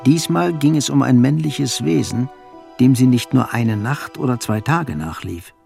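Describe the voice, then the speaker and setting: An actor narrating a radio play as a sober, observant storyteller, with a gravelly, husky voice and measured delivery. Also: male voice, medium pitch, a little mellow, faint music behind. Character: sober, observant male storyteller of a melancholy novel.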